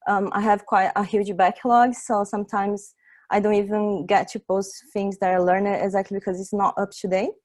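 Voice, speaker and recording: A woman's voice: mid-range voice, flat delivery, moderate pace, darkish tone. Chatty Brazilian-accented woman presenting. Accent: Brazilian Portuguese. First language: Portuguese. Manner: chatty, frequent "um" and "right?" tags. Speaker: tech meetup speaker. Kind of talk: informal tech presentation.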